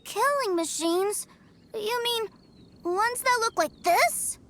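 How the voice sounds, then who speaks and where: A woman's voice: extremely high pitch, expressive, slow pace, a little hiss or hum, exaggerated voice. A woman voicing a cheerful, stubborn little boy in a game cutscene, talking in an eager, sing-song way. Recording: game cutscene with loud music. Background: game music.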